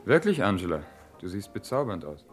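An actor playing a friendly, eager, admiring wedding guest in a crime radio play. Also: male voice, sits low, big pitch swings, brisk, loud music behind the voice.

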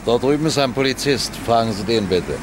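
A man playing a wary police detective who narrates his mission in deliberate, suspenseful 1960s radio crime drama style. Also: mid-range voice, lively intonation, close to an everyday voice. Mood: polite, guarded. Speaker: narrator and lead character. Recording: noisy vintage 1960s radio recording.

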